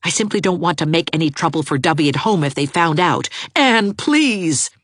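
An anxious young man pleading for help, earnest and a touch scornful, as a woman reader voices him. Lively rises and falls carry the plea, and the sound is dry and close like a storybook reading. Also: on the low side, moderate pace, dry recording, voice pushed up. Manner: pleading, contemptuous of the dog.

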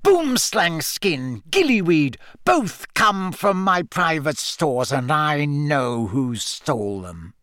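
A man voicing a sneering, coldly contemptuous male schoolteacher in an audiobook, speaking slowly and deliberately with quiet, hissing menace. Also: on the high side, animated, no room sound, clean studio sound. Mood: hissing accusation. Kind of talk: audiobook character voice.

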